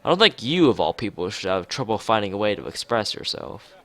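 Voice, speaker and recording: A man's voice: on the low side, big pitch swings, a little fast, fairly bright tone. A man voicing an awkward, thoughtful teenage schoolboy from a visual novel, hesitant and earnest, with music behind.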